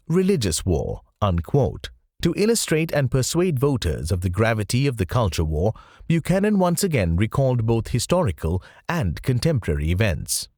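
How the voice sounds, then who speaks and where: A man narrates a nonfiction audiobook from a script. His intonation rises and falls dramatically across phrases, while the delivery stays measured and carefully enunciated. The sound is dry, with almost no room around the voice. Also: medium pitch, a little mellow, dry recording.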